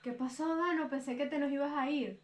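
A woman's voice: high voice, fairly even intonation, brisk, loud music behind the voice, lightly acted. A woman playing a teasing young woman who offers coffee in a skit, heard distantly through a played clip. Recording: distant, roomy clip audio with loud noise.

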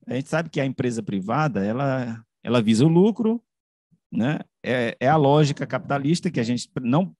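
A man explains in an academic discussion, haltingly, repeating and rephrasing and asking rhetorical questions, close to the microphone. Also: medium pitch, a little mellow, no echo. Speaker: media researcher on a panel. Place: room with bookshelves, on a video call. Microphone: large microphone close to the mouth.

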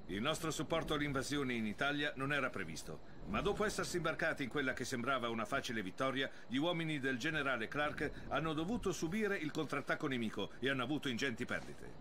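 An actor playing a commanding, matter-of-fact officer giving a mission briefing in a war game. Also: male voice, mid-range voice, sing-song, fast, few pauses, pushed and bright, a little hiss or hum. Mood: commanding, businesslike.

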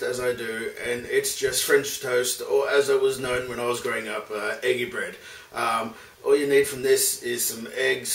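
A man's voice: medium pitch, a bit bright. A man in his thirties giving an informal, chatty cooking tutorial as the host, talking directly to viewers. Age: 30s. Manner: informal, addresses viewers as guys. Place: home kitchen, indoors. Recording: clearly noisy home kitchen recording.

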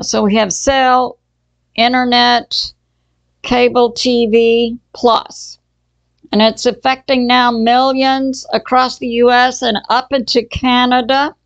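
A woman hosting her own channel, giving casual news commentary at a slow, halting pace with frequent fillers and restarts. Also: on the high side, no room sound, lo-fi sound. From Texas. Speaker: channel host.

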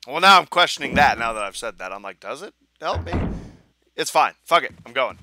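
A young man commenting on a video game as he plays, joking and mocking himself, with a hoarse voice that he says is going. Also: medium pitch, brisk, over music. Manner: joking, self-mocking, animated. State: hoarse, losing his voice. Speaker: gaming channel host. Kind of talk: casual let's play commentary.

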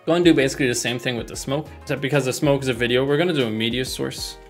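A man's voice: medium pitch, very fast. Young man narrating a tutorial over music. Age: young adult. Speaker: tutorial host. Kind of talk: casual software tutorial narration. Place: small room at home, indoors. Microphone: desk microphone close in front.

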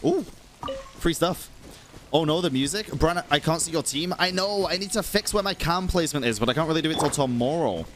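A man's voice: fairly high pitch, expressive, brisk, fairly bright tone, slight background noise. A man in his thirties giving playful, slangy live gameplay commentary and chatting to his viewers. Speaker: video game streamer. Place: indoor room at a streaming setup. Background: game music and sound effects.

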